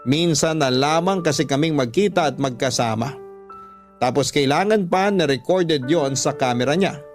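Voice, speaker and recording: A man's voice: fairly high pitch, normal speed. A Filipino man narrating a love story in radio storytelling style, as the host reading out a letter. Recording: music playing behind. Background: music.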